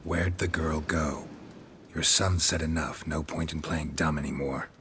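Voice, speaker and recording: Fantasy game monster hunter: stoic, terse, extremely low voice, clipped delivery, faint background noise. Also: male voice, a little slow. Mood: cool, probing. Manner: terse, flat, clipped sentences. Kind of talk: video game character acting.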